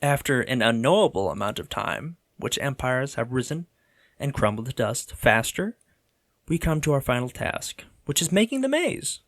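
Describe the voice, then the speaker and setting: A man's voice: medium pitch, very animated, normal speed, a bit bright, no room sound, studio quality. A man giving a theatrical, tongue-in-cheek voice-over narration with comic asides, as the host of an art video. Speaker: video host, 3D monster artist. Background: background music.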